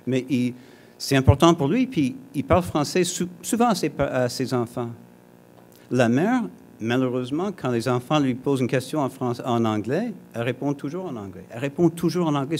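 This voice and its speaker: A man from Alberta whose first language is English, giving a conference talk in a conversational way, with restarts and joking asides to the audience. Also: mid-range voice. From Alberta, Canada. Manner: hesitant, frequent restarts and fillers. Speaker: conference speaker. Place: conference hall.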